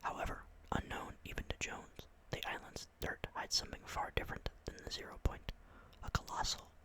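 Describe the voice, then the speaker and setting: Whispering young man, close to the mic. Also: fairly quick. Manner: soft unvoiced whisper throughout; excitable, rambling, apologetic asides. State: tired. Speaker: ASMR creator. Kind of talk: whispered ASMR reading with chatty asides. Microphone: close microphone.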